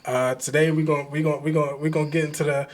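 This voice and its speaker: A man's voice: on the high side, little pitch movement, fast talker. A man hosting a casual podcast, laid-back and full of fillers, laughing often. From Pittsburgh area. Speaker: podcast host.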